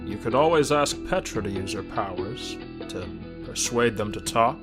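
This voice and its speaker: A man voicing a calm, strategic commander who speaks in a measured, persuasive way in a fan audio drama. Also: lowish voice, music in the background, natural voice.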